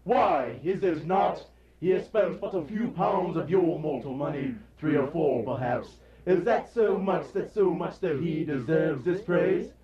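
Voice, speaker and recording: A man's voice: on the high side, unhurried, a crowd in the background, natural voice. Solemn male spirit, played by a man, declamatory and stern, dull far-off live recording for radio drama. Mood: probing. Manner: declamatory, commanding, formal. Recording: dull, echoey, distant, loud audience noise.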